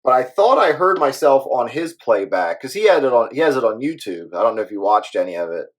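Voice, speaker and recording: A man's voice: medium pitch, big pitch swings, fairly quick. A man chatting casually as a hobby radio operator, rambling along in an easygoing way.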